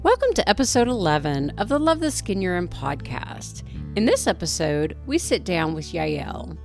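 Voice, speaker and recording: A woman's voice: fairly low pitch, sing-song, normal speed, darkish tone, soft background music. A woman co-hosting a podcast, reading an earnest scripted intro and then chatting casually with a guest. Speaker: podcast co-host.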